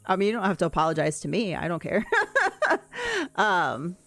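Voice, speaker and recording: Woman, deep voice, gaming livestream, chatty, rambling, reading chat at a desk mic. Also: normal speed, vocal fry, no echo, faint music behind. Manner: chatty, rambling, answers chat while playing. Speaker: streamer. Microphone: desk mic, no camera.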